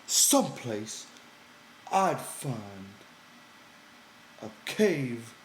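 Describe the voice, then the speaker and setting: A man's voice: mid-range voice, sing-song, drawn-out words, echoey room, a little hiss or hum, voice pushed up, low-quality recording. A man voicing a big, childlike, simple-minded ranch hand who pleads and whines in an audiobook. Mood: hurt, wistful. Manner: whiny, pleading, childlike, ungrammatical.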